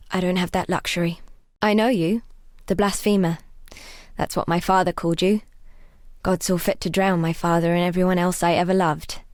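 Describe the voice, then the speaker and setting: An actress playing a devout, weary young woman healer exiled on a grim coast in a fantasy game, speaking in a solemn, measured, wry way. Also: lowish voice, a little mellow, dry recording, clean studio sound, lightly acted. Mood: bitter. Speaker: non-player quest giver. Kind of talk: dramatic fantasy game dialogue.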